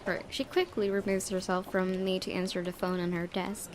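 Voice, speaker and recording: A woman's voice: lowish voice, flat delivery, normal speed, very noisy. A woman let's player reading visual novel narration aloud, with casual asides and occasional stumbles that she corrects. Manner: stumbles over words and corrects herself.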